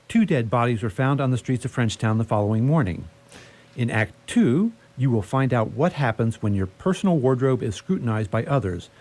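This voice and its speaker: Male announcer doing a scripted radio drama intro, mid pitch, really varied intonation. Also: darkish tone. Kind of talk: scripted recap narration.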